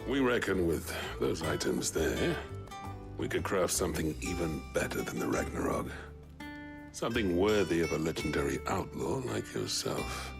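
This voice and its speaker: Animated low-voiced proud craftsman. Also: moderate pace, vocal fry, heavily acted. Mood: eager. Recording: music behind the voice.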